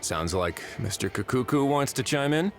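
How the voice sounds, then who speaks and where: A man playing a calm, courteous, analytical investigator in an anime game, measured and articulate. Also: mid-range voice, lightly acted. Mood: composed. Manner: measured, articulate, reasoning aloud. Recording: game music behind the voice.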